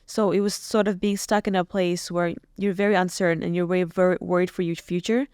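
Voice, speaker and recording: A woman's voice: on the low side, steady tone, a little fast, darkish tone, smooth, dry recording. A young woman from Iran, telling her story earnestly and reflectively as a podcast guest in a small studio. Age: young adult. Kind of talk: podcast interview. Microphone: boom mic on stand.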